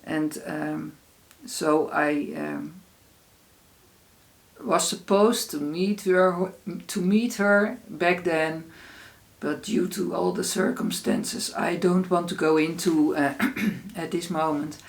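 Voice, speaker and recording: A woman with a Dutch accent chats through her solo podcast in English, which is not her first language. She speaks at an unhurried pace, often stopping on "uh" and circling back to restart a phrase. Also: low-pitched, steady tone, vocal fry. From Netherlands. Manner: unhurried, many "uh" fillers and restarts. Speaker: podcast host. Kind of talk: casual solo podcast chat.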